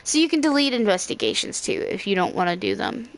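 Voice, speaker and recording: A woman's voice: medium pitch, animated, fast talker, creaky. Young woman, slightly scratchy voice. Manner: rambling, with frequent fillers and self-corrections. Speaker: let's play host. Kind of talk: casual gameplay commentary.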